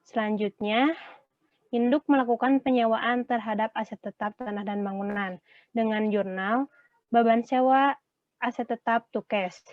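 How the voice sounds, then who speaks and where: A woman's voice: on the high side, dry recording. A young woman from Indonesia giving a prepared class presentation as a student. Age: young adult. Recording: boxy room, thin phone-like sound.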